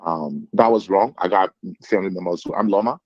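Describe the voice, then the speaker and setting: A man from Liberia with a Liberian accent, speaking as a guest in measured, thoughtful commentary with hesitant restarts. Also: deep voice, dry recording, muffled recording. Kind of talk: reflective call-in commentary.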